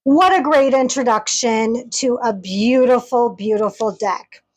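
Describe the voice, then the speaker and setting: Woman, high voice, slow unhurried delivery, enthusiastic, chatty, casual review. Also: darkish tone. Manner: gushing, enthusiastic; chatty, addresses viewers directly. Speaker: tarot deck reviewer.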